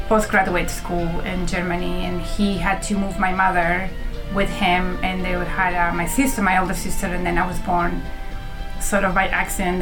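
A woman's voice: medium pitch, low-quality recording. A woman from Chile, telling her life story as a medical interpreter in a reflective way, with many ums and restarts.

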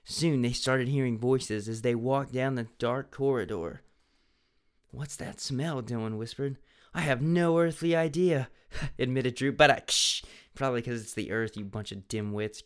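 A man's voice: medium pitch, measured pace, clean tone. A young man reading a children's mystery book aloud in a mocking, dramatic way, breaking off to make sarcastic comments. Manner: sarcastic, laughing at the text.

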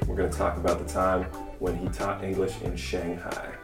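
Low-voiced man hosting a podcast. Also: monotone, normal speed, soft background music. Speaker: podcast host. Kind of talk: scripted podcast intro, then interview.

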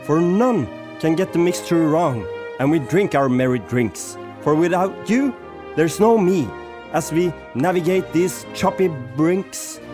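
A man's voice: fairly high pitch, animated, measured pace. A man playing a sentimental, solemn amateur poet from a rock band in a radio play. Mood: solemn, stirring. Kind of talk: amateur radio play acting, poetry recital. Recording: music behind the voice.

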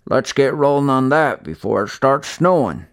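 Gruff old country homeowner for a storybook reading, done by a male narrator: blunt, folksy, heavyset. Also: mid-range voice, expressive, moderate pace, no room sound, studio quality, voice pushed up, lightly acted. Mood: matter-of-fact.

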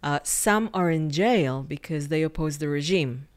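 A woman's voice: deep voice, a little slow. A woman guest expert on Iran, answering interview questions in an explanatory, correcting way with frequent restarts.

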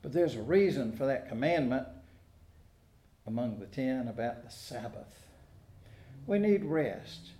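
An American man preaching a sermon in a folksy, conversational way, pausing deliberately between phrases. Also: mid-range voice, lively intonation, dark tone. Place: church sanctuary during a service. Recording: echoey room sound.

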